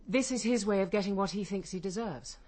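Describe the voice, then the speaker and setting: A woman's voice: medium pitch, fairly quick, a little mellow, natural voice. An actress playing an imperious, middle-aged English woman barrister, clipped, cutting and determined, in a radio crime drama. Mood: bitter, determined. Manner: commanding, clipped, insistent. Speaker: actor.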